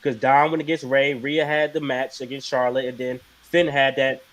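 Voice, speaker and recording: A man's voice: mid-range voice, level tone, moderate pace, a bit bright. A man co-hosting a casual wrestling chat stream, blunt and profane. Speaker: podcast co-host.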